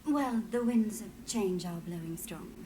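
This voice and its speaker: Woman acting a reserved, mellow-voiced former spy. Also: medium pitch, lively intonation, a little slow, a little hiss or hum, natural voice. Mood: cautious. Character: reserved, cautious woman, former mask runner. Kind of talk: scripted video game acting.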